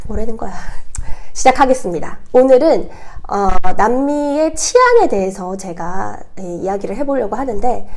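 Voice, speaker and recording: Hoarse, high-pitched woman vlogger with a cold. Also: darkish tone. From South Korea. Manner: chatty, explanatory. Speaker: vlogger hosting own channel. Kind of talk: casual talk to viewers.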